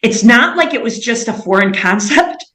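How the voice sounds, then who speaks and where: A woman's voice: fairly high pitch, lively intonation, moderate pace, a bit bright. Earnest woman in an echoey room. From United States. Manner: earnest, fervent storytelling. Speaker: missionary, interview guest. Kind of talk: personal testimony in an interview.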